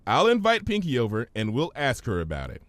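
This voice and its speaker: Man voicing a measured, reasonable pony princess. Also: mid-range voice, wildly swooping pitch, dry recording. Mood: reassuring. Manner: measured, explanatory. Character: calm, reasonable female pony princess, bookish and reassuring.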